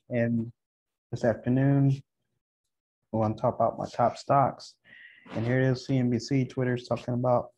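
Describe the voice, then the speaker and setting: A man hosting a casual stock market update, speaking in short, halting, measured phrases. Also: mid-range voice, warm tone, slightly rough. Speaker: stock video host. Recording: dull, muffled lo-fi home recording.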